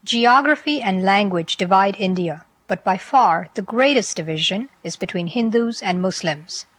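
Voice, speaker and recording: A woman reporter reading scripted documentary narration in a measured, even delivery. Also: medium pitch, no echo.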